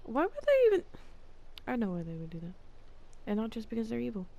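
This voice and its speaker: A woman doing casual live gameplay commentary, reacting in an expressive, playful way. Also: a little high, brisk, vocal fry. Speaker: streamer playing and reacting to a game.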